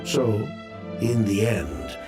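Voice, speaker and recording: A man acting as a weary, defensive businessman in a video game, speaking dramatically and deliberately. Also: fairly low pitch, very animated, over music, full character voice. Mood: somber.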